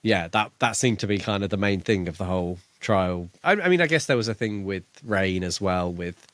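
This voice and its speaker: A man's voice: low voice, moderate pace, fairly bright tone, no room sound. A man co-hosting a podcast, casually discussing a book in a chatty, jokey way. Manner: chatty, jokey, prone to laughing. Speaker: podcast co-host.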